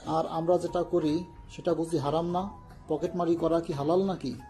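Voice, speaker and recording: A very dark, flat male voice put on by an actor plays a wary street-thief sidekick. He sounds deferential but sceptical, speaking colloquially in an audio drama with faint music behind. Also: a little high, moderate pace, lightly acted. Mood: sceptical, cautioning. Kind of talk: dramatised audio story acting.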